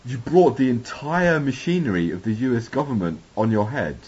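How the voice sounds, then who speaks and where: A man's voice: mid-range voice, animated, normal speed, darkish tone, slightly rough. A man interviewing a guest during a recorded call, asking rambling questions with restarts. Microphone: video call. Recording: dull lo-fi call audio.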